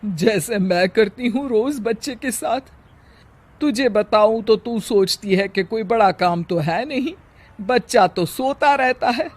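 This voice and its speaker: A woman acts out an old mother-in-law in a domestic tale. She talks very slowly and grumbles in a plain-spoken, sardonic way, weary from housework and quick to scold. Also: fairly high pitch. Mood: pointed, scolding. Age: older.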